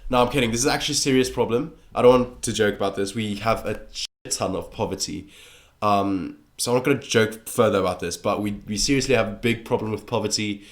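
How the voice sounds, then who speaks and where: A man's voice: lowish voice, fast. A teenage boy from South Africa with a mixed English and American accent, joking sarcastically and swearing casually as a vlogger, recorded on a phone taped to his monitor. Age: teens. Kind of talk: casual commentary. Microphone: phone taped to a computer monitor.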